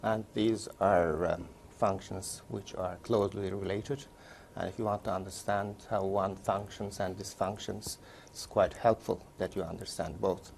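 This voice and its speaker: Man, 60s, from Turkey; low gravelly voice, measured interview answers, faint room hum. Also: fairly even intonation, a little mellow. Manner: measured, recounting career step by step. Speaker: interviewee, neurologist. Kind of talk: oral history interview.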